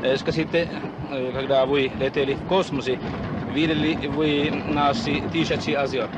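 A middle-aged man asking formal, halting questions as a television interviewer. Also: fairly even intonation. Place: small train compartment. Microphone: shared handheld microphone. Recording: noisy 1960s archival film sound, train rumble.

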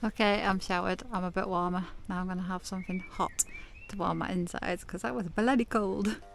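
A woman's voice: medium pitch, fairly even intonation, a little fast, gravelly, slight background noise. A woman in her thirties from the UK, chatting casually to camera inside her camper van, a little stuffy with a sore throat. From United Kingdom. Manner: chatty, laughs often, coos at her dogs. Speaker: vlogger. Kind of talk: casual vlog chat to camera.

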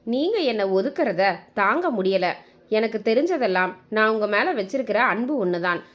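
A woman's voice: medium pitch, very animated, moderate pace, natural voice. A woman voicing a hurt, loving middle-aged mother with little schooling, plaintive and apologetic, in a narrated story. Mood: tearful hurt.

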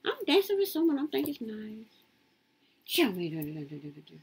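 A woman in her late fifties rambling through her life story in a casual, lively way. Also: normal speed, slight background noise. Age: 50s. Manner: lively, swoops high when quoting others.

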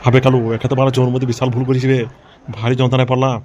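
A man's voice: medium pitch, completely flat delivery, rapid-fire, a little mellow, dull audio, a faint crowd, slight background noise, natural voice. A man playing a coarse, blustering, hot-tempered gangster boss and harried father in an amateur comic cartoon dub. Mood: exasperated.

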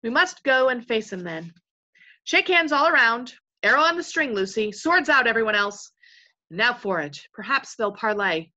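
Woman voicing a bright, decisive young king. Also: mid-range voice, lively intonation, normal speed, lo-fi sound. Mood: resolute.

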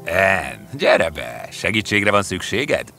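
Breezy storybook charmer, played by a male narrator: gallant, playful, lively melody, music behind. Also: low-pitched, gravelly, exaggerated voice. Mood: eager, helpful. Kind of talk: fairy tale character voice. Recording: music under the voice.

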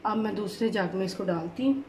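A woman's voice: medium pitch, fairly quick, darkish tone, slight background noise. A woman hosting a cooking channel, chatting viewers through a recipe step by step and often asking them to look. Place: home kitchen, indoors. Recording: home kitchen with utensil clatter. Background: clattering dishes and utensils.

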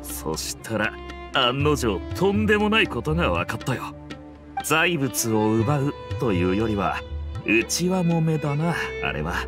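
A man playing a level-headed, shrewd young male desert mercenary in an anime game, casual and measured in wording. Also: medium pitch, big pitch swings. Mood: serious, analytical. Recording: music behind the voice.

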